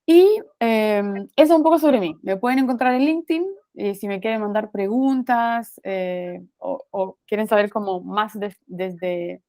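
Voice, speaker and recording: A woman's voice: medium pitch, a little slow, hardly any pauses, a little mellow, no echo, studio quality. A woman from Romania with a Romanian accent, giving a guest lecture to a university class over a video call, with frequent "eh" fillers and "¿no?" tag questions. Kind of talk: online university class talk.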